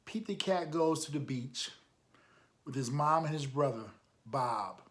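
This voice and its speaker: A man's voice: a little high, expressive, unhurried, a bit bright. A man reading a picture book aloud for children, adding encouraging, emphatic asides and putting questions to his listeners. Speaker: storytime reader, coach.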